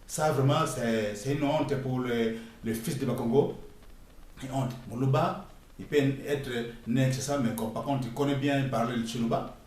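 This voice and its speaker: A man's voice: mid-range voice, fairly even intonation, a little mellow, a little hiss or hum. Congolese man lecturing from afar outdoors. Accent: Congolese French. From Democratic Republic of the Congo. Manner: emphatic, scolding, halting with frequent pauses. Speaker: vlogger. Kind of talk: casual spoken commentary. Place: outdoors near a construction site. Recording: echoey and distant with faint ambience.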